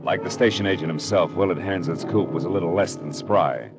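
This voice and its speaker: A tough American private detective in an old radio drama. His low voice is impatient and wisecracking, in clipped hardboiled 1940s style, with music on the vintage recording. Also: fairly quick. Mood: tense, hardboiled.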